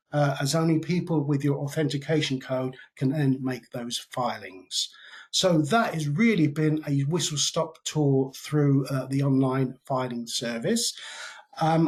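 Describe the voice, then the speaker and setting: British man presenting a webinar. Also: a little high. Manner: conversational, with frequent ums and uhs.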